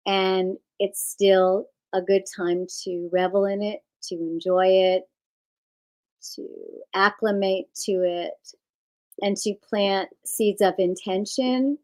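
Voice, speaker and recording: A woman teaching yoga nidra, giving guided relaxation instructions in unhurried phrases with pauses. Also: on the low side, a little mellow, low-quality recording.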